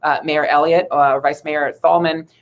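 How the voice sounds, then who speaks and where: A woman from Ohio with an American accent, answering interview questions in an earnest, measured, conversational way as a local politician. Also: deep voice, level tone, dull audio. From Ohio, United States. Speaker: city council member, interview guest.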